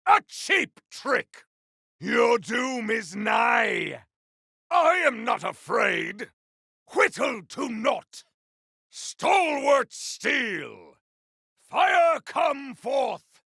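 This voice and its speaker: An actor playing a bombastic, vengeful warrior hero in a video game, declaiming battle cries in a theatrical, archaic, grandiose way with a gravelly, raspy voice. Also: male voice, very high voice, big pitch swings, drawn-out words, bright, forceful tone, dry recording, raised voice, studio quality, exaggerated voice. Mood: fierce, bombastic. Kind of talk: video game combat barks.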